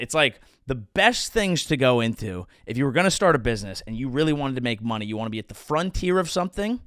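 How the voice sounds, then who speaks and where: Sarcastic comedian with a dry close sound. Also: male voice, on the high side, fairly bright tone, no room sound. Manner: sarcastic, mock-outraged rants. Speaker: comedian host. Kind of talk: comedic monologue.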